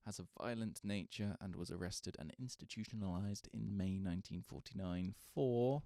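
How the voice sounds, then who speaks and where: A man's voice: on the low side, normal speed, vocal fry, warm tone, dry recording. A man playing a polite, unsure, newly qualified psychiatric doctor in a radio drama, formal and hesitant in manner. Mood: formal, clinical.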